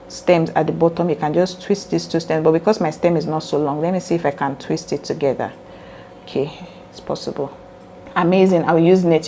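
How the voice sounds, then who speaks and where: A woman with a raspy voice hosting a casual step-by-step sewing tutorial, chatty and earnest as she talks straight to her viewers. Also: on the low side, dark tone, a little hiss or hum.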